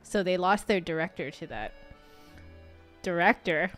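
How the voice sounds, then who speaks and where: A woman's voice: fairly low pitch, unhurried, fairly bright tone. A woman giving casual live game commentary as a streamer, chatty and rambling. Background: game music.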